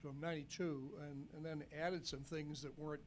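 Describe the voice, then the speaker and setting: A man who once sat on a town committee, answering questions at a public meeting in a halting, wry way. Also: fairly high pitch, little pitch movement, crowd noise. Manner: halting, repeats words, wry. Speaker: former committee member.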